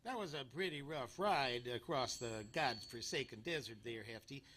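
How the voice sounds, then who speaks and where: An actor playing a hapless, griping urban cowboy with western talk in a live radio comedy sketch. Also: male voice, medium pitch, lively intonation, moderate pace, a bit bright, slight background noise, lightly acted. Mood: grumbling. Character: Rusty, grumbling hapless male urban cowboy.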